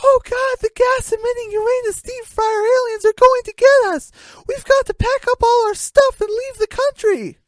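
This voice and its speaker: Woman voicing an excitable high-pitched chicken. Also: moderate pace, hardly any pauses, no room sound. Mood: terrified. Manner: shrieking, urgent. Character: excitable, panicky female chicken spreading alien scare.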